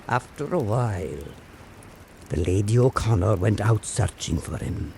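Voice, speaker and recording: A man telling a folk tale as scripted narration, in a measured and dramatic manner. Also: lowish voice, expressive, vocal fry, a little mellow, slight background noise. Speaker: storyteller.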